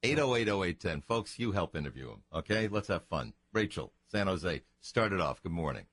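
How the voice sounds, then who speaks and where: Deep, raspy, fast-talking radio host. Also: male voice, sing-song, a bit bright. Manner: brash, teasing, fast questioning. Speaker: radio talk show host. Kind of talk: live call-in radio interview.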